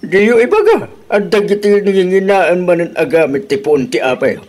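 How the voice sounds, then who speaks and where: A high, very animated older father-in-law in an Ilocano radio drama. He is nosy and admonishing, his pitch swooping as he talks in an Ilocano accent. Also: normal speed. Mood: inquisitive.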